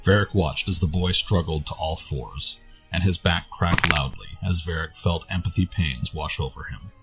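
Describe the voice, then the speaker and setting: A man's voice: deep voice, normal speed, over loud music, poor audio. A man reading scripted fantasy story narration as the narrator of an audio tale.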